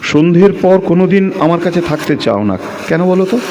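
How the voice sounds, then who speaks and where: A man playing a lovestruck, brooding narrator of a secret romance in an audio drama, with poetic phrasing and pauses. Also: high-pitched, lively intonation, mellow, a little hiss or hum. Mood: tender.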